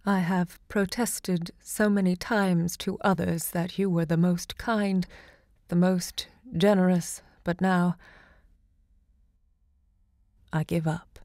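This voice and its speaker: An actress playing a passionate, proud literary hostess and lover, narrating an audio drama with dramatic, deliberate delivery. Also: female voice, lowish voice, steady tone, dark tone. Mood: bitter, wounded.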